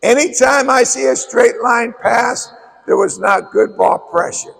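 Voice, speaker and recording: A hoarse man coach with a strikingly high voice, heavily gravelly and raspy throughout. He explains things bluntly and emphatically, hammering points home by repeating key words. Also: measured pace, fairly bright tone. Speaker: basketball coach. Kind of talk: coaching clinic talk.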